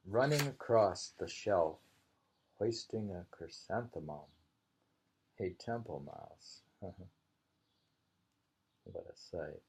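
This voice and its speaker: A man's voice: deep voice, lively intonation, slow, gravelly, long pauses, darkish tone, slight background noise. A man reading haiku aloud, repeating lines and musing on them in casual commentary. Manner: musing, repeats each poem. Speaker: reader and host of a poetry reading.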